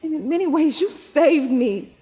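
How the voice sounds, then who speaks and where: A woman playing a grieving, vulnerable young student devoted to her grandmother, in a stage play, with a husky voice. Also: high voice, expressive, normal speed, warm tone. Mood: grieving, tender. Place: theater stage in a reverberant hall. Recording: muffled echoey stage hall recording.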